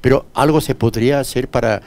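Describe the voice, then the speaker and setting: Un hombre, presentador de radio, que comenta y pregunta con algunas pausas de relleno. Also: male voice, medium pitch, fairly even intonation, darkish tone. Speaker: radio host. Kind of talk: radio interview.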